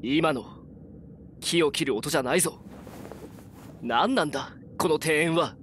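A man's voice: a little high, a little slow, fairly bright tone, a little hiss or hum. A man playing a cool, resolute young adventurer in a voice drama, terse, dry and composed. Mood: tense. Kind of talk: Japanese voice drama acting.

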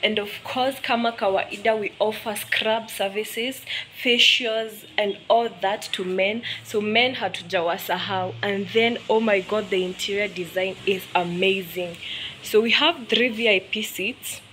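Kenyan woman showing off a salon, talks slow and chatty, filming on her phone, faint room noise. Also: mid-range voice, steady tone, bright, forceful tone. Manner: chatty, mixes Swahili phrases into English. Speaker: salon tour guide. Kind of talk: walking tour vlog. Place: salon and barbershop interior.

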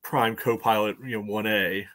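A man's voice: lowish voice, steady tone, moderate pace, a bit bright. A man in his thirties trading casual sports banter as a co-host on a video call from home. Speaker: soccer podcast co-host. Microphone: video call microphone.

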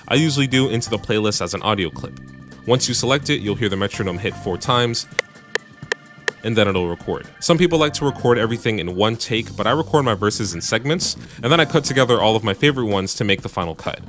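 A man with a bassy voice casually narrating a home recording tutorial, with joking asides, on a studio mic in his home room. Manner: laid-back, joking asides. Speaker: music producer and YouTube tutorial host. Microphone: studio microphone through audio interface.

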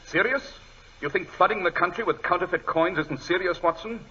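Through a dull old radio recording comes an imperious British detective. He speaks fast in a sharp, forceful voice, brusque and impatient. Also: sits high, slightly rough, heavily acted. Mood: irritated. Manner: brusque, clipped, commanding. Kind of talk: 1940s radio acting.

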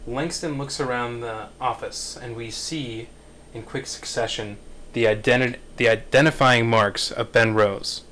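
A man in his thirties narrating screenplay directions in a measured, halting way at a table read. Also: medium pitch, steady tone, bright, forceful tone, slight background noise.

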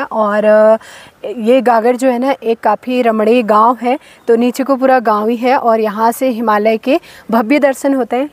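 A woman's voice: a little high, a little mellow, dry recording. A woman, chatting casually to camera as a vlogger. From Uttarakhand hills, India. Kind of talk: casual vlog chat to camera. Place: mostly outdoors, roadside and village. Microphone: phone at arm's length or clip-on lapel. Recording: faint outdoor background noise.

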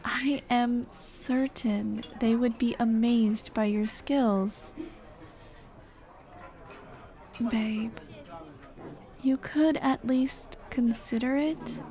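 A woman playing a tender, playful late girlfriend in an ASMR roleplay, speaking in a gentle, coaxing, teasing way. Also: fairly high pitch. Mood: fond, teasing. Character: tender, playful dead girlfriend comforting her grieving partner. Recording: clear ambient noise behind. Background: ambient sound effects.

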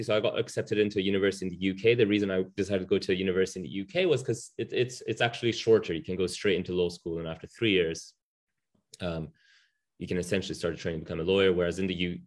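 A man in his thirties, a Japanese native speaker, speaking as a barrister on a webinar panel over a video call from a home room, candid with frequent ums and restarts. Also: sits low. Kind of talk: webinar talk.

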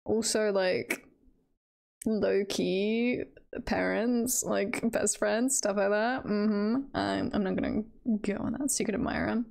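A woman talks casually on a livestream in a mid-pitched voice with heavy creak. She takes her time, hesitating as she chats to her viewers and weighs up rankings. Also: steady tone, takes it slowly, darkish tone. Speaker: streamer. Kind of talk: casual livestream chat, ranking tier lists.

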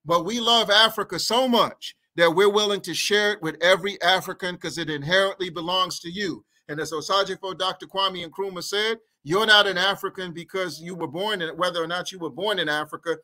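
A man's voice: high-pitched, sharp tone. A middle-aged man speaking in a video-call interview through a headset, delivering an impassioned political speech with rhythmic, repetitive lists. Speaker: guest activist. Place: indoor room at home.

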